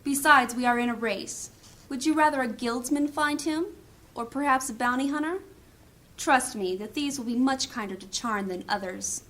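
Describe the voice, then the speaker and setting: A woman's voice: on the high side, normal speed, a bit bright, natural voice. An actress playing a hard, commanding woman thief in a fantasy audio drama, sardonic and menacing. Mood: cold, persuasive.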